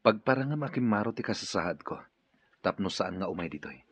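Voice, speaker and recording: A man's voice: fairly low pitch, lively intonation, quick pace, breathy edge, no echo. An actor playing an authoritative, decisive businessman giving orders in an Ilocano radio drama. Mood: commanding. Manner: commanding. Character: authoritative wealthy male businessman boss, ailing and decisive. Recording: dull sound with music underneath.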